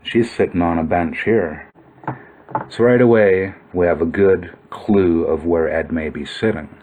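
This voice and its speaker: A man with a low-pitched voice narrates a video in an informal, explanatory style. He muses as he goes, thinking aloud as he works through what he is examining. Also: unhurried, darkish tone, slight background noise. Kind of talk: informal explanatory commentary over old photos.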